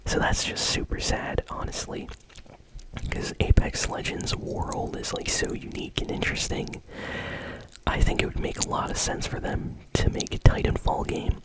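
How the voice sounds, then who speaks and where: A host whispering casual, unscripted ASMR gaming news commentary in a breathy, unvoiced voice. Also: normal speed, rough recording. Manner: casual, unscripted, hesitant phrasing with trailing asides.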